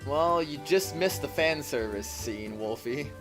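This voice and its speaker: A man's voice: on the high side, animated, a little slow. Man streaming, game music behind him. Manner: jokey asides, reads punctuation out as sound words. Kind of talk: casual live reading of a visual novel.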